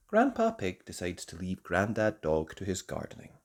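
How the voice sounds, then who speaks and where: A storyteller reading a children's picture book aloud in an expressive way, as host. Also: low voice, normal speed.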